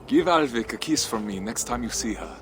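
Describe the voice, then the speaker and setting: An actor playing a proud, earnest Viking trader and husband in a video game cutscene. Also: male voice, medium pitch, animated, a little fast, background noise, natural voice. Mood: easy, wry. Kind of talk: dramatic game cutscene acting. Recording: music behind the voice.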